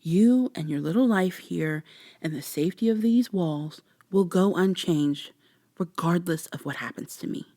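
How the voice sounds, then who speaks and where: A woman playing a guarded, sardonic human woman in a vampire ASMR roleplay, speaking close and breathy, with sarcastic, wary delivery that slips into whispers. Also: fairly low pitch, lively intonation, measured pace, warm tone, dry recording. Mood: defiant, cold.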